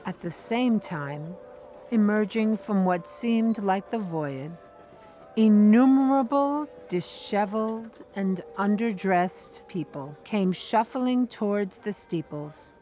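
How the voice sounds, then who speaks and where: A woman narrating an audio drama as a scripted story, in a deliberate, measured manner. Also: mid-range voice, expressive, warm tone, soft background music.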